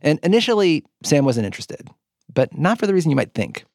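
A man's voice: on the high side, very animated, quick pace, gravelly, a little mellow, dry recording, clean studio sound. An American man hosting and narrating a podcast in a scripted but conversational storytelling style.

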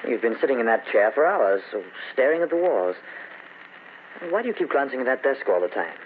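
An actor playing an exasperated, worried husband in an old-time radio drama, earnest, hesitant and protesting. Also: male voice, medium pitch, big pitch swings, brisk, close to an everyday voice. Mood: puzzled reluctance. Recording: noisy, narrow-band old radio recording.

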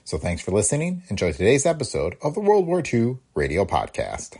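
A man's voice: on the low side, huge pitch swings, a little fast, darkish tone, slightly rough. A man hosting a podcast, reading a scripted introduction. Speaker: podcast host.

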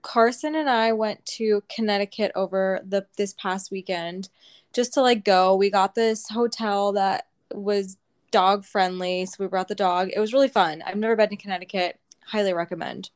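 A young woman with a medium-pitched voice, telling a story casually as a podcast co-host. She speaks in an animated way and swears without holding back. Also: moderate pace, clear, resonant tone.

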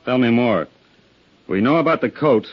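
An actor playing a gruff, suspicious police sergeant in 1950s radio drama, blunt, sarcastic and pressing. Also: male voice, mid-range voice, animated, normal speed. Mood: hard, sarcastic. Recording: dull 1950s radio recording.

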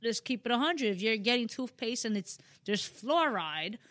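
A woman's voice: a little high, expressive, normal speed, a bit bright, no echo. A young woman guest on a podcast, talking casually and loosely, with lots of "you know" and playful jokes. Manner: rambling, heavy on "you know" and "like", jokey asides. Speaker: podcast guest, spiritual speaker. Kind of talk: casual chat.

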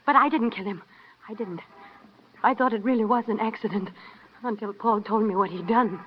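An actress playing a frightened, emotional wife under suspicion in an old-time radio melodrama, pleading dramatically. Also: female voice, medium pitch, moderate pace, slight background noise, lightly acted. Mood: frantic, pleading. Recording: dull vintage radio recording.

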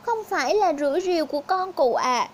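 Woman voicing a high-pitched earnest young woodcutter. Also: animated, brisk, slight background noise. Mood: honest denial. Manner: plaintive, polite, earnest. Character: poor, honest young orphaned woodcutter man.

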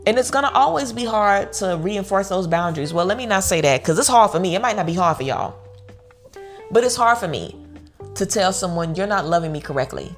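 A woman's voice: mid-range voice, animated, a little fast, faint music behind. An American woman giving an informal motivational pep talk, speaking bluntly and emphatically, repeating phrases to drive them home. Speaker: online community host giving self-worth advice.

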